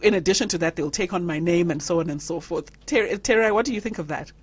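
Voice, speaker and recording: A woman's voice: lowish voice, quick pace, breathy edge. A woman hosting a radio panel discussion, reading out listener messages and laughing often. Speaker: radio talk show host.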